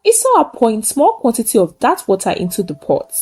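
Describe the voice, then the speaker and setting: A woman's voice: on the high side, huge pitch swings, brisk, a little mellow, breathy edge. A woman narrates a folktale in short, simple sentences, solemn and emphatic. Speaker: storyteller. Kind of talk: dramatic folktale narration with a closing moral.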